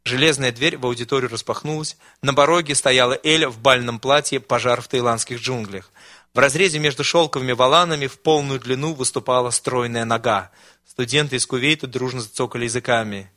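A man's voice: medium pitch, fairly even intonation, fast talker, pushed and bright, no echo, studio quality. A man reading a humorous story aloud with a wry, ironic delivery, as a radio host.

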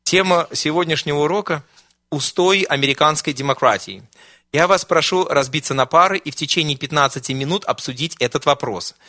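A man voicing a brisk female college teacher giving instructions to her class. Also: low-pitched, pushed and bright, no echo, clean studio sound, pitched above the performer's own voice. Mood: instructing.

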